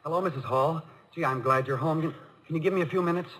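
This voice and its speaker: An actor playing an eager, bashful young college student in a 1950s radio comedy-drama, boyish, polite and stammering. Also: male voice, fairly high pitch, fast, fairly bright tone, natural voice. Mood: sheepish. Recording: noisy old radio broadcast recording.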